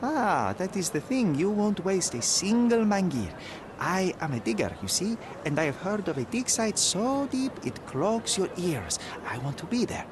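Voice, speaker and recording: An actor playing a young male detective in disguise, polite and quick-witted in a video game. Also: high voice, big pitch swings, background noise. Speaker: lead character.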